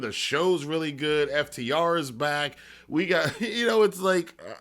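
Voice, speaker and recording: A man's voice: on the high side, a bit bright. A man hosting a wrestling review, giving casual, conversational commentary with rambling asides and emphatic repetition.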